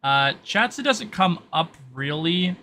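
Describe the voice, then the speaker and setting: A man's voice: a little high, expressive, takes it slowly, pushed and bright, a little hiss or hum. A man improvising informal narration as the game master of a roleplay session.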